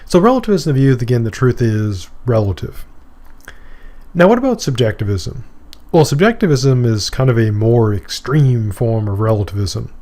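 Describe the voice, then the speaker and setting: Man, middle-aged, from Maine, philosophy professor, recorded lecture, mid-pitched voice, casual, explains with examples. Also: big pitch swings, normal speed, mellow. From Maine, USA. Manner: conversational, explains with examples and asides.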